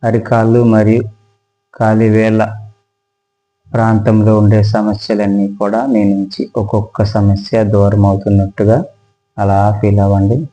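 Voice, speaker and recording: A man guides a relaxation meditation as a yoga instructor, speaking slowly and soothingly with pauses. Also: fairly low pitch, monotone, dark tone, poor audio.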